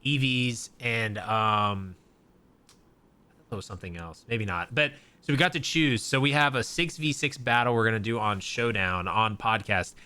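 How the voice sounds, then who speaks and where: A young man with a bright, forward voice chats on a gaming podcast. He rambles, leans on fillers and lets his sentences trail off. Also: lowish voice, animated, normal speed, clear, resonant tone. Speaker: podcast co-host. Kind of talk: casual chat.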